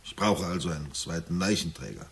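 An actor playing a gloomy middle-aged husband in a German radio drama, speaking in a measured storytelling way with dry irony. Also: male voice, low-pitched, creaky, a little hiss or hum. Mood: grim, hushed recounting. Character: gloomy middle-aged husband recounting a nightmare, wryly forbearing.

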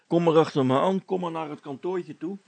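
A man doing a folksy village policeman, slightly creaky. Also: a little high, a little fast, darkish tone, dry recording, voice pushed up. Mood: jovial. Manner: hesitant, folksy, rambling. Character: good-natured, proud village policeman, folksy and jovial. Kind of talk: character voice in a read-aloud comic story.

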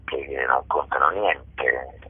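A man's voice: very deep voice, takes it slowly, slightly rough, dry recording. A man from Basilicata with a southern Italian accent, speaking emphatically as a theatre storyteller and repeating phrases for effect. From Basilicata, southern Italy. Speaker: theatre author, director and actor. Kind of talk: interview, passionate storytelling about own stage work. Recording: narrow tinny phone or radio line.

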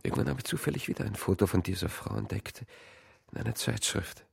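An actor playing a lonely, broken man in a radio drama, halting and confessional, with a breathy, creaky voice. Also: on the low side, full character voice. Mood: ashamed, confessing. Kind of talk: naturalistic German radio drama acting.

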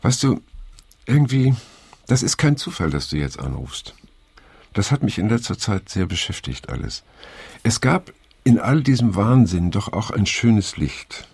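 An actor playing a weary, reflective middle-aged man in naturalistic radio drama, speaking haltingly and pensively with a gravelly, husky voice. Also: fairly low pitch, sing-song, darkish tone, no room sound, heavily acted. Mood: pensive, wistful. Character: weary middle-aged male survivor of a hippie circle, reflective.

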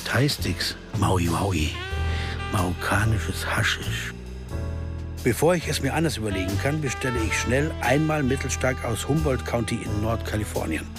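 A man's voice: fairly low pitch, big pitch swings, moderate pace. A man acting in a radio crime drama as a restless amateur sleuth, narrating his own story in a reflective, intimate way. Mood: pensive. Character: troubled male American amateur sleuth, introspective, driven, restless. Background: music.

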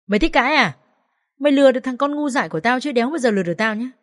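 A crude, bullying middle-aged mother-in-law with a shrill, raised voice, as a woman storyteller puts her on. She spits insults and threats at her daughter-in-law in a spoken audio story. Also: expressive, racing. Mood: contemptuous.

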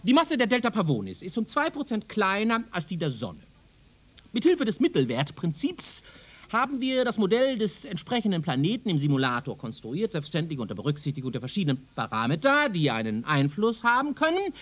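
A man's voice: high voice, big pitch swings, brisk, fairly bright tone, heavily acted. A man playing a zealous, self-assured professor of speculative science in a German radio drama, lecturing in a pedantic, insistent way. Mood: eager lecturing.

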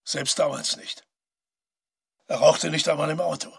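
An actor playing the questioning inner voice of a haunted man in a literary radio drama, terse, knowing and husky. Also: fairly high pitch, steady tone, a little slow, a bit bright, no room sound, full character voice. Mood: probing, musing. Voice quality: husky, creaky.

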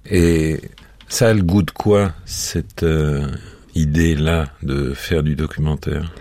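Very deep-voiced male radio host. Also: fairly even intonation, slow pace, warm tone, no room sound. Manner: playful, digressive, challenges the guest. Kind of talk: conversational radio interview.

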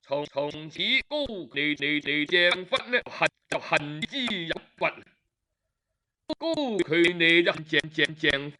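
High, bright-voiced Cantonese male storyteller. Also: expressive. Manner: colloquial, with drawn-out particles and interjections. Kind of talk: lively traditional oral storytelling of historical tales.

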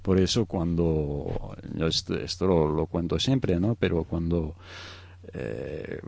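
A man's voice: low-pitched, takes it slowly, gravelly, darkish tone, dry recording. A middle-aged man from Egypt explaining things in an unscripted interview, hesitant, searching for words and often adding tag questions. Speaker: interview guest.

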